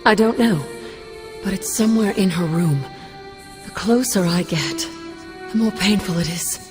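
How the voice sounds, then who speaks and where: A woman plays the ghost of an older female mentor in a video game cutscene. She is stern yet caring, with formal, measured, commanding delivery at a medium pitch. Music plays behind her. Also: lightly acted. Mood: solemn.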